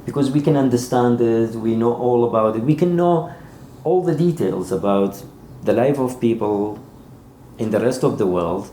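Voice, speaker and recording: A man being interviewed as an author, speaking haltingly and repeating words as he searches for phrasing. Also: medium pitch, animated, dark tone. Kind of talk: interview conversation. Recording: roomy echo, faint background noise.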